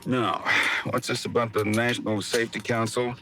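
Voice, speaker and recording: An actor playing a wry, melodramatic American husband in a mid-century radio sketch, sarcastic and mock-suffering. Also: male voice, mid-range voice, level tone, moderate pace, music in the background, close to an everyday voice.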